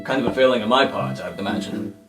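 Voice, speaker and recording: A man's voice: lowish voice, very animated, fast, echoey room, music in the background. A man voicing a protective older superhero cousin in a tabletop game, solicitous and apologetic. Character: fussy, protective older cousin hero called a Skull.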